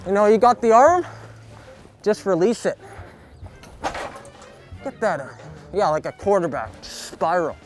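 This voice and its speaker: A young man outdoors giving a jokey sports tutorial, coaching in a mock-serious, deadpan way full of hockey slang. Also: sits high, measured pace, pauses often. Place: backyard, outdoors. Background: music.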